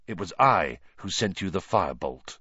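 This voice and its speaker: A fugitive wizard godfather, as a man puts him on, earnest and measured. The voice sits low and moves lively through its phrases. The audiobook recording is dull and lo-fi, with a dry, close sound. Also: husky, no echo, heavily acted.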